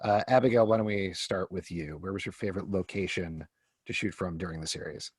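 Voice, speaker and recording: A man's voice: low voice, a little fast, darkish tone, slightly rough, no echo, studio quality. A man moderating a casual fan Q&A, reading out questions and passing them to panelists.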